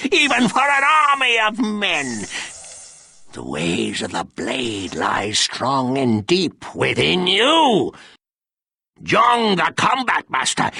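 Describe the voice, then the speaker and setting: Cackling, gravelly, wildly swooping old mystic. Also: male voice, sits high, pushed and bright, no room sound, full character voice. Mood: amused, incredulous. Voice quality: gravelly, raspy. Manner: theatrical, drawn-out cryptic delivery. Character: cackling, theatrical old mystic sage with cryptic warnings. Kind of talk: fantasy video game character acting.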